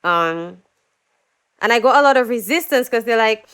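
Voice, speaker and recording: Smooth-voiced woman telling stories. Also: a little high, moderate pace. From Dominica. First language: English. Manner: animated, acts out quoted voices. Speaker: singer-songwriter guest. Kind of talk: casual interview storytelling.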